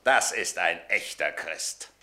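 An actor in a radio play voicing a gruff, scornful Roman centurion, dutiful and contemptuous. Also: male voice, low-pitched, expressive, slow pace, bright, forceful tone, heavily acted. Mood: disgusted, barking. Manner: barking, contemptuous, dry.

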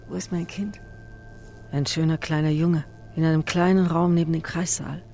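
A woman's voice: low-pitched, little pitch movement, moderate pace, mellow, slight background noise. An actress in a German radio drama playing a bereaved young mother, insistent and bitter, speaking in terse, intense phrases. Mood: numb, aching. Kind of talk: German radio drama, interior monologue and dialogue.

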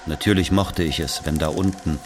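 A man's voice: a bass voice, fairly even intonation, moderate pace, over music. An actor playing an aging Algerian man bitterly recounting his past in a radio drama, in a brooding, confiding manner. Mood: wistful, confiding. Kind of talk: dramatic first-person narration in German.

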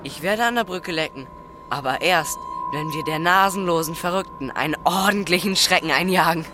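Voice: mid-range voice, moderate pace, music in the background, lightly acted. An actor playing a cocky, bossy thirteen-year-old boy, a scheming prankster in a radio play. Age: child figure of thirteen. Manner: bossy, goading.

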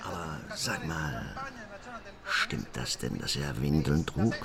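An actor playing a cynical, insinuating Sicilian mafioso who confides and threatens in a German radio crime drama. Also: male voice, rumbling low, lively intonation, takes it slowly, vocal fry, a crowd in the background, full character voice. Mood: cynical musing.

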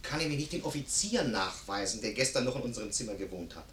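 An actor playing a cheeky, indifferent servant in a 1950s German radio play, glib and impudent. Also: male voice, medium pitch, animated, quick pace, fairly bright tone, a little hiss or hum, close to an everyday voice. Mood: businesslike. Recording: roomy 1950s radio studio recording.

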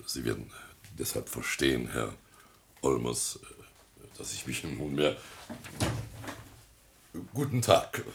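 A self-important middle-aged mayor in a comic radio play. The voice is very low and gravelly, swooping in pitch. He talks haltingly and officiously, dropping in Latin phrases. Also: very animated, noisy background, full character voice. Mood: evasive, embarrassed. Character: pompous, hesitant middle-aged small-town mayor. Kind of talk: stage comedy radio acting.

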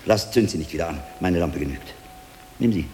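An actor playing a shrewd, commanding middle-aged monk detective in a radio drama, deliberate and wry with a learned air. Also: male voice, sits low, lively intonation, a little mellow, slight background noise. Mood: tense, commanding. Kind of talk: German radio drama acting.

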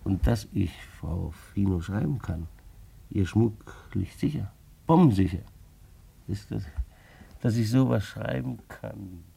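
An actor playing an earnest, stubbornly upright married clerk in a German radio play, hesitant and repeating himself. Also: male voice, fairly low pitch, animated, a little slow, vocal fry, mellow, breathy edge, heavily acted. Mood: quietly resolute.

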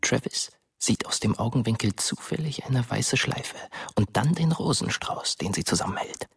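An actor playing the ghost of a dead teenage boy, narrating a dark radio play in a hushed, measured way. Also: medium pitch, animated, gravelly, slightly rough, dry recording, full character voice. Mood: quietly ominous. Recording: loud music under the voice.

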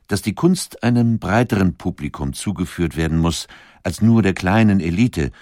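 Deep, calm male voice-over for an art documentary, close and dry. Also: dry recording. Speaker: documentary narrator. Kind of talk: scripted narration.

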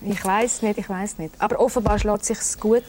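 A woman's voice: mid-range voice, fairly even intonation, darkish tone, slight background noise. Woman hosting a talk show. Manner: chatty, slightly halting presenter with fillers.

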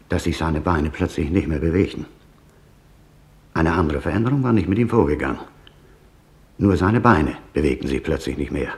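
An actor playing a sombre male eyewitness recalling a camp in plain, halting words for a radio documentary. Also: a bass voice. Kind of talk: dramatised testimony in a radio documentary.

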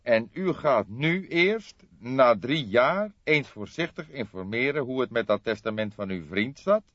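A gruff, dogged male detective, performed by a man reading an audiobook. He speaks formally and emphatically, with a drawl and a lively rise and fall, on a dry, slightly dull recording. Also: medium pitch, measured pace, dry recording, pitched above the performer's own voice. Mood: incredulous. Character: stern, dogged older police detective, male. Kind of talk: audiobook character voice.

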